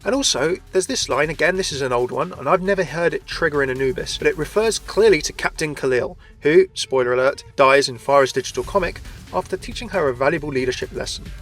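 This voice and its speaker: Fast-talking, higher-pitched male video host. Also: a bit bright, faint music behind. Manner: chatty, addresses viewers directly. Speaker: video game lore channel host. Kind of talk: scripted video commentary.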